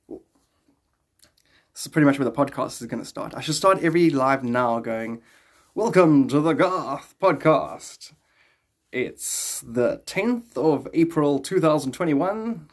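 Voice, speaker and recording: A man in his thirties with a South African accent, chatting casually and playfully in slang as he hosts a live stream, close to a phone in a small room. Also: fairly high pitch. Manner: playful, slangy, greeting viewers in drawn-out exclamations. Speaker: live streamer and podcast host.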